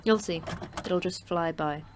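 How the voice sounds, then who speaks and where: A woman's voice: fairly low pitch, unhurried, background noise, lightly acted. A woman playing the terse, dry-witted manager of a secret facility in a sci-fi horror audio drama.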